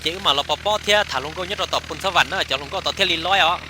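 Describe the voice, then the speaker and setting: A man's voice: on the high side, rapid-fire, lightly acted. A man voicing a talkative male character in a comic cartoon. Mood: explaining. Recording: clear background noise.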